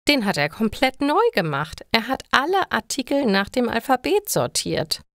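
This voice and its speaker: Woman putting on an exasperated older housewife, high-voiced. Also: big pitch swings, normal speed, a bit bright, dry recording, studio quality. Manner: complaining, dramatic, emphatic. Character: exasperated older housewife with a newly retired husband.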